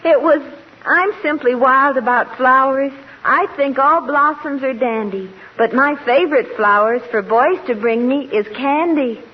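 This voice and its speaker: Bubbly high-voiced scatterbrained girl. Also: measured pace. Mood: naive, dreamy. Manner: gushing, girlish. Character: bubbly, naive, scatterbrained young woman. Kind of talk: 1940s radio comedy acting. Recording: hissy, dull old radio show with audience.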